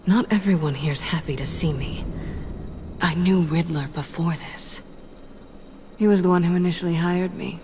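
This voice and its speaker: Woman acting a female mercenary thief, lowish voice, cool, wry, guarded, businesslike game drama, faint background noise. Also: moderate pace, a little mellow, natural voice. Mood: hushed, guarded.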